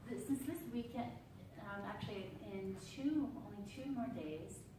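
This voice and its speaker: A woman's voice: mid-range voice, animated, unhurried. A woman hosting a club meeting's impromptu speaking round, giggly and hesitant with lots of fillers. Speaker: meeting host leading table topics. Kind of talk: casual hosting. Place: meeting room. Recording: echoey room, far from the mic, clear background noise.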